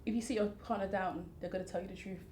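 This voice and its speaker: A woman's voice: mid-range voice, fairly even intonation, fast talker, a little mellow, slight background noise. Blunt British woman, echoey room. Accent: British English. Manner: blunt, emphatic, short answers. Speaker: guest. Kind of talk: casual interview chat.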